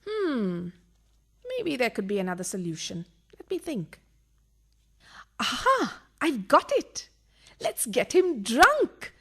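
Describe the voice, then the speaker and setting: A woman plays a scheming mistress in a radio farce. Her voice is very high and swoops up and down theatrically. She coaxes and plots with relish, and is easily thrilled. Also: huge pitch swings, slow pace, pauses often, fairly bright tone, breathy edge, heavily acted. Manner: coaxing, theatrical. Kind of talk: farcical radio play acting.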